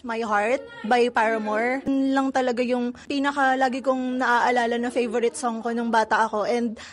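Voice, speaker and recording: A young woman from the Philippines answers interview questions, slipping between English and Filipino and adding polite particles. Her voice sits a bit high. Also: fairly even intonation, normal speed. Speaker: group member.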